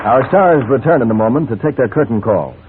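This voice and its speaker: Old-time radio announcer guy, husky, medium pitch, tinny narrow recording. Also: animated, a little fast. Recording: thin, phone-like 1940s radio recording.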